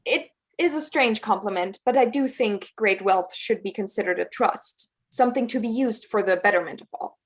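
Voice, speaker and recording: A woman's voice: medium pitch, moderate pace, few pauses, natural voice. A woman playing a polite, principled young heiress in a period play reading, with formal and slightly hesitant diction. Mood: polite, candid. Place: indoors, remote online reading.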